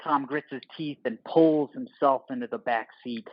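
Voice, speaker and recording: A man's voice: medium pitch, moderate pace, no room sound, dull audio. A man narrating a scripted audio play in the role of narrator.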